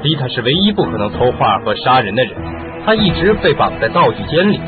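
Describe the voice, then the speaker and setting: A man's voice: medium pitch, sing-song, a little fast, narrow, tinny audio, lightly acted. A man acting in a radio drama as a sceptical but reasonable police inspector with a questioning manner. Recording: band-limited audio with music.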